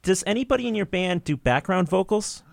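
Male interviewer, lively pitch, casual questions, dry close recording. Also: brisk, creaky, dry recording. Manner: asks questions with loose "like" fillers.

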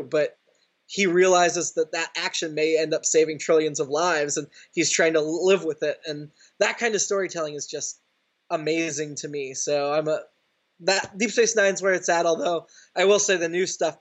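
A man speaking as a podcast guest, chatting casually and explaining things with earnest enthusiasm. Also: fairly high pitch. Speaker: podcast guest, audio drama writer. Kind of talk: casual interview chat. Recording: slightly dull remote-call sound.

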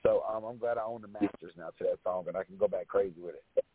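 Raspy man telling his story down a phone line. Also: on the low side, quick pace, no room sound. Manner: relaxed storytelling with many fillers. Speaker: rapper guest. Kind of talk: casual interview chat. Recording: tinny phone-line sound.

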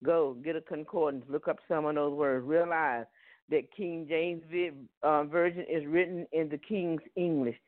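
A man's voice: fairly high pitch, steady tone, normal speed, no echo. A man with a Southern accent preaching a Bible teaching, punctuating his points with "Amen" and "Hallelujah.". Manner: peppers speech with "Amen" and "Hallelujah". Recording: tinny phone line, dry.

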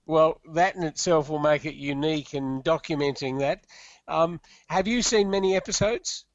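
A man's voice: a little high, moderate pace, no room sound, highs cut off. Man asking leading interview questions. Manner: leading questions, hesitant with fillers. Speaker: radio interviewer.

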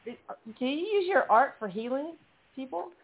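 A woman's voice: sits high, lively intonation, moderate pace, crowd noise. Chatty woman host on a phone line. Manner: upbeat, encouraging, chatty. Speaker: radio show host. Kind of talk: casual call-in radio talk.

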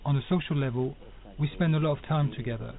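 A man's voice: fairly high pitch, fast, vocal fry. A man, a local policeman, answering a news interview in a plain, matter-of-fact way. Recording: distant voice on tinny audio with a crowd.

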